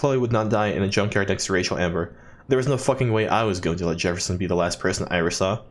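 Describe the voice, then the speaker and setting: A man's voice: deep voice, quick pace. A man doing a let's play, reading in-game journal text aloud expressively and dropping in jokey asides. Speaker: let's player.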